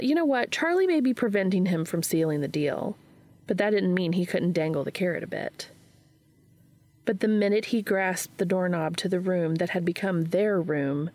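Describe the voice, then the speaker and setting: A woman reading fan fiction aloud as an expressive scripted story narration. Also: on the low side, dry recording.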